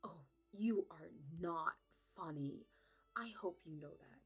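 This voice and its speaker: A woman's voice: on the low side, slow, faint music behind, full character voice. A woman playing an anxious, lovelorn young princess bride in a romantic audio roleplay, speaking formally and hesitantly. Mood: teasing, soft. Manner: formal regal phrasing, hesitant, breathy pleading.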